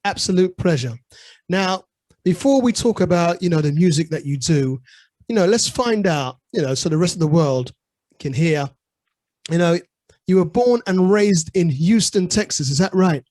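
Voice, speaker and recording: A man's voice: sits high, lively intonation, normal speed, darkish tone, no room sound. A man hosting a music interview show, enthusiastic, saying "you know" over and over. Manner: enthusiastic, repeats "you know", says "brilliant". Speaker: radio show host.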